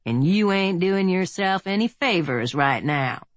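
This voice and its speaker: A woman voicing a soft-spoken, confident Old West lawman who talks in a slow, folksy drawl. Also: on the low side, animated, dry recording, voice pushed up. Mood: flat warning.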